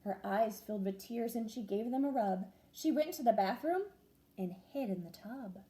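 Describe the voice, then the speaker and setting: Expressive, sing-song teacher reading aloud. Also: female voice, on the high side, normal speed. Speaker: first grade teacher. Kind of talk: reading a rhyming picture book aloud.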